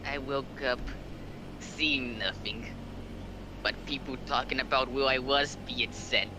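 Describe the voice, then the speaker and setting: A man's voice: mid-range voice, steady tone, slow pace. A man reading a story aloud in an intense, theatrical way, breaking off for joking asides. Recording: some background noise.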